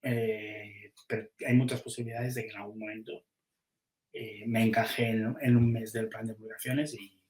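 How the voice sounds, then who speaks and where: Male publisher, fairly low voice, unhurried casual chat full of restarts, echoey room sound. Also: little pitch movement, a little mellow. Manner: thinking aloud, many restarts and hesitations. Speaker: comics publisher.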